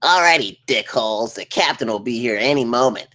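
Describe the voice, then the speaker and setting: A man's voice: medium pitch, expressive, brisk, pushed and bright. A man playing a crude, bossy, sycophantic spaceship officer with an abrasive, theatrical manner at a comedy table read. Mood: bossy, menacing. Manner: abrasive, theatrical, switching between barking and fawning.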